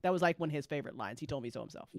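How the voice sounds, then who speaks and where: A woman's voice: sits low, lively intonation, rapid-fire. A woman hosting a casual after-show chat, playful and gushing, repeating phrases for emphasis as she questions her guests. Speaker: host and show creator.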